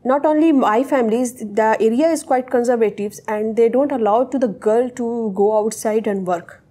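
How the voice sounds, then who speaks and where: A young woman whose first language is Urdu, answering interview questions in hesitant, halting English. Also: fairly high pitch, dark tone. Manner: hesitant, searching for words. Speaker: interviewee, technical associate.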